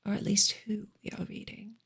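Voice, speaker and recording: A woman reading aloud in a soft, breathy, whispery ASMR style, slow and deliberate. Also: mid-range voice, completely flat delivery.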